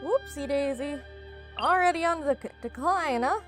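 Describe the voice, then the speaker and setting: High-voiced playful woman gamer. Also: normal speed. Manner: playful, slangy. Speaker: gamer doing a let's play. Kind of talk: casual gameplay commentary. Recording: game music behind the voice.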